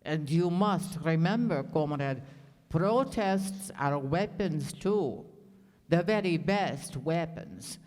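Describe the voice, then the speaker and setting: A woman acting a stern, dogmatic onion general in a satirical radio play, declaiming pompously and deliberately. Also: low voice, expressive, a little mellow. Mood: grave, dogmatic. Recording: echoey hall stage performance.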